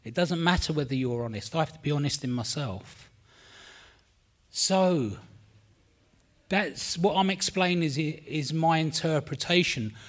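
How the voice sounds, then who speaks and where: A middle-aged man from London with a London accent, sharing his story at a recovery meeting in a rambling, candid way, swearing freely and admitting he is nervous. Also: fairly high pitch. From England. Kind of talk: personal share at a support meeting. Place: meeting room.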